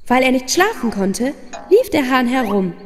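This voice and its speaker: A woman's voice: high voice, sing-song, normal speed. A woman narrating a scripted fairy tale for children as the storyteller. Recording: long reverb under prominent music.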